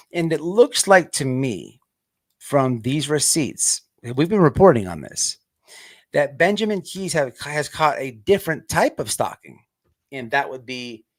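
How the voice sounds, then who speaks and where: A man hosting an online show with off-the-cuff livestream commentary, halting as he repeats words and restarts. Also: mid-range voice.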